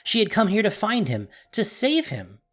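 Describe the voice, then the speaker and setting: A woman's voice: medium pitch, huge pitch swings, normal speed, a bit bright. A woman narrating a fantasy story in a solemn, emphatic, dramatic scripted style. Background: music.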